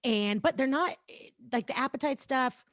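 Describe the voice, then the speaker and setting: A woman's voice: high voice, moderate pace, fairly bright tone, dry recording, clean studio sound. Chatty woman in her thirties. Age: 30s. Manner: chatty, storytelling with many likes and ums. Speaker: podcast co-host. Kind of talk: casual podcast chat.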